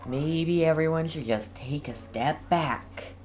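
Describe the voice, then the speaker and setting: Very low, slow-talking woman gamer. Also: a little mellow. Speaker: gamer playing through story quests. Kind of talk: casual playthrough commentary.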